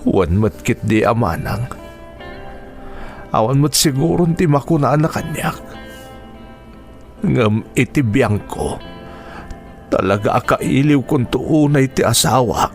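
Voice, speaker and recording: Slow-talking, lonely Ilocano widower. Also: male voice, medium pitch, animated, faint music behind. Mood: mournful, lonely. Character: lonely, grieving widowed male teacher, gentle and resigned. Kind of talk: Ilocano radio drama acting.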